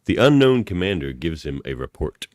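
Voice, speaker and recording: A man's voice: low-pitched, sing-song, normal speed, dry recording, clean studio sound. A man reading scripted stage directions as narrator of a radio play.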